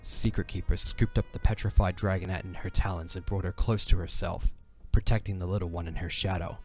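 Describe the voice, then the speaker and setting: A man's voice: low-pitched, fairly even intonation, brisk, a little mellow, soft background music. A man narrating a dramatic fantasy audio drama in a solemn storyteller's delivery.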